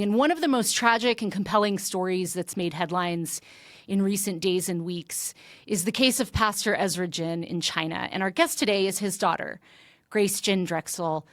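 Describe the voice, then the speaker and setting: A woman's voice: medium pitch, a bit bright, dry recording, studio quality. A woman guest-hosting a formal interview podcast, speaking in an earnest, articulate and polished way. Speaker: guest host.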